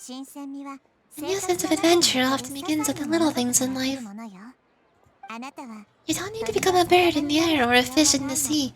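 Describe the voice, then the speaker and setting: Woman voicing a high-voiced gentle, wise child goddess. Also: moderate pace, pauses often, a crowd in the background, close to an everyday voice. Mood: gently advising. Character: gentle wise child deity, curious and earnest girl. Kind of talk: scripted game character dialogue. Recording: game music behind the voice.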